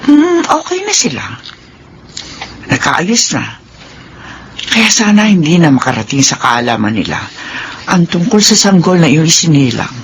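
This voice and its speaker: A woman's voice: on the low side, big pitch swings, measured pace, pauses often, a little hiss or hum. An actress playing an older, well-off matriarch in a Filipino radio drama, protective and firm in her admonishing. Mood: kindly.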